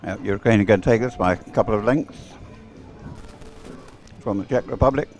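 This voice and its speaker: A man's voice: on the low side, moderate pace, a little mellow, soft background music. A man giving live, off-the-cuff race commentary in a conversational, matter-of-fact way.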